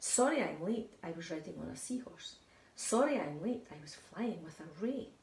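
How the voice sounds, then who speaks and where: A woman's voice: on the low side, expressive, moderate pace, vocal fry, darkish tone, recorded from a distance, slight background noise. Tiddler, a woman voicing a little tale-telling fish. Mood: eager excuses. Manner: eager, apologetic excuses. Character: small boastful young boy fish who tells tall tales. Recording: echoey room.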